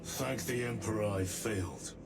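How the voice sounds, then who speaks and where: Loyal sci-fi marine, kinda deep, earnest formal lines, game cutscene w music. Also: male voice, moderate pace, gravelly. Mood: remorseful. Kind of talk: cinematic video game acting.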